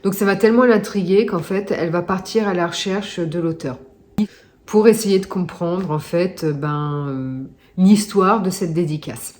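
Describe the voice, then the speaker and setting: A woman's voice: on the low side, unhurried, a little mellow. Chatty woman with a stuffy nose. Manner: chatty, many hesitations. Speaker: book vlogger. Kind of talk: casual reading vlog chat.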